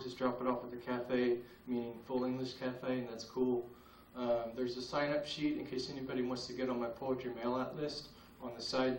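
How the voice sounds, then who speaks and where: A man performing spoken-word poetry at an open mic, with a slow, solemn, incantatory delivery and long pauses. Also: medium pitch, slight background noise. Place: open mic night in a cafe. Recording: roomy, distant VHS tape recording.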